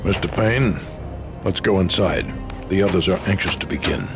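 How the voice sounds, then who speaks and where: Elderly society patrician, game drama. Low register, measured, courteous, calculating, music behind. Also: male voice. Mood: courteous, composed. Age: older. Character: scheming old male secret-society patrician, formal and composed. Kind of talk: game voice acting.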